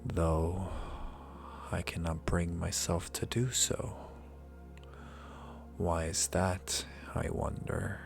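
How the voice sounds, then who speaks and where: Ancient male dragon, performed by a man, ASMR roleplay. Extremely unhurried, even-toned, condescending yet intimate, with formal, archaic phrasing. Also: low-pitched, creaky, soft background music, heavily acted. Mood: menacing, musing. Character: ancient male dragon familiar, powerful, possessive, amused, seductive.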